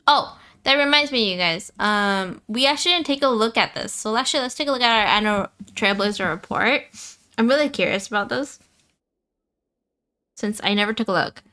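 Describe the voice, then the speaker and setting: A woman's voice: a little high, moderate pace, pushed and bright. A woman live streamer chatting casually about a game, often repeating phrases for emphasis. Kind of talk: casual gaming stream chat.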